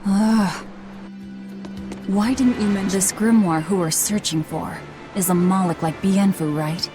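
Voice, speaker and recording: A woman's voice: mid-range voice, measured pace, close to an everyday voice. A woman playing a blunt, guarded young adventurer in a video game cutscene, with a dry delivery. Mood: skeptical. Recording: music under the voice.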